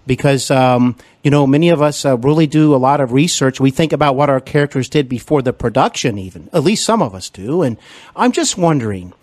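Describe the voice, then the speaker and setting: A man's voice: mid-range voice, animated, brisk, darkish tone, no room sound. A man hosting an interview, asking long rambling questions full of fillers and restarts. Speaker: podcast interviewer and actor.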